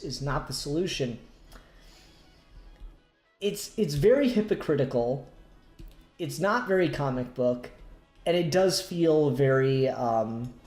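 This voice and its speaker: A man hosting a casual review discussion, speaking haltingly and searching for words as he works through his points. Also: on the high side, a little mellow. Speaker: podcast host discussing comics. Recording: roomy echo, faint music behind.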